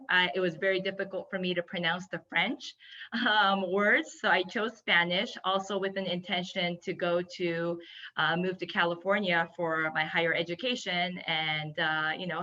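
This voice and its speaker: Female guest speaker for a webinar, Japanese native, bright and enthusiastic delivery, slightly muffled online-call sound. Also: fairly low pitch. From Yokohama, Japan. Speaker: guest speaker, hospitality entrepreneur. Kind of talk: webinar presentation. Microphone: video call.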